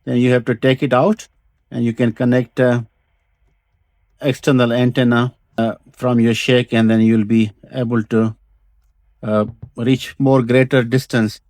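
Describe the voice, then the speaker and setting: A man with a mid-range voice and non-native English walks through a hobby product showcase. He talks casually and haltingly, pausing between short phrases. The sound is close and dry, with little room in it. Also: mid-range voice, level tone, a little mellow, no room sound. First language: not English. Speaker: vintage radio hobbyist presenter.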